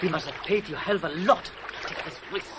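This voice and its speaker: An actor playing an agitated, sceptical fugitive, blunt and slangy, in a 1970s radio thriller. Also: male voice, sits high, a little fast, natural voice. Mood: sceptical, exasperated. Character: agitated, sceptical male fugitive, cocky and exasperated. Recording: noisy old radio drama recording.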